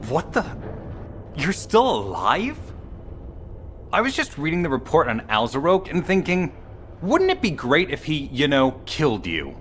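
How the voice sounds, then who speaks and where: An actor playing a petulant, flippant scientist in a video game, with a sarcastic, theatrical drawl. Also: male voice, on the high side, wildly swooping pitch, measured pace, a bit bright, a little hiss or hum, full character voice. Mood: mocking. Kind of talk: video game character dialogue.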